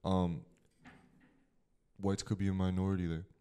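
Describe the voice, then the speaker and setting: Lower-voiced young man, laid-back co-host. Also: a little slow, clean tone. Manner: relaxed, short agreeing replies. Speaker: podcast co-host. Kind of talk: casual podcast chat.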